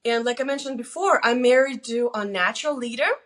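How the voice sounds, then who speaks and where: A woman in her forties, originally from Lithuania, speaks with a slightly high voice. She tells personal stories as a guest in a casual, animated style. She is self-deprecating and likes to act out quoted remarks. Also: brisk. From Lithuania, moved to the US as a teen. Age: 40s. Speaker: guest on her daughter's show.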